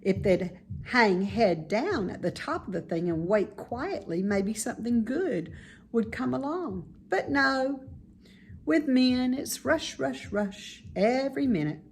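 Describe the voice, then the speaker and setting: A woman playing a wise, calm, self-assured female spider in a children's storybook, speaking in a measured, instructive way. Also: a little high, a little hiss or hum, pitched above the performer's own voice. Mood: contented, philosophical. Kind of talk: children's storybook read-aloud.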